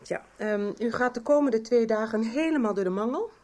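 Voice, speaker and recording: A woman acts a doctor in a nineties radio drama. She questions in a matter-of-fact way. Her pitch is a little high. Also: lively intonation, normal speed, a little mellow, lightly acted. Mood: clinical. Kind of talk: 1990s Dutch radio soap acting.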